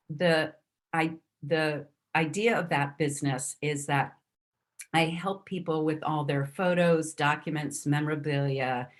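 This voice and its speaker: A middle-aged woman talking as a guest on a podcast over a remote call, unhurried, with many fillers and restarts. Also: sits low. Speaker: guest speaker. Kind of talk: casual podcast conversation.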